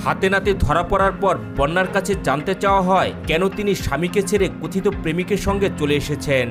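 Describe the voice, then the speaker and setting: High-pitched male news narrator over music. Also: quick pace. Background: clear music.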